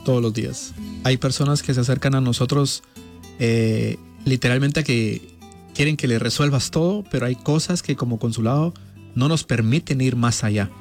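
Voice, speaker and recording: A man's voice: medium pitch, moderate pace. A man, speaking as a consulate official in a radio interview, explaining things formally and patiently. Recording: faint music behind.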